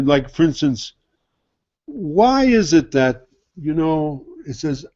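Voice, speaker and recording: A man's voice: on the high side, warm tone. A man giving an informal sermon as a Sufi teacher, talking conversationally and asking rhetorical questions. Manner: conversational, rhetorical questions, mimics others' attitudes. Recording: dull lo-fi recording.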